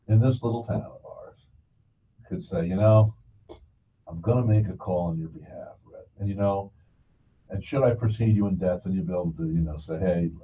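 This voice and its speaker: A man hosting a broadcast, chatting casually in a folksy, rambling storytelling way and acting out what people said. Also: low voice. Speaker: broadcast show host. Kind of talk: casual rambling anecdotal conversation. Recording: dull, distant mic, faint noise.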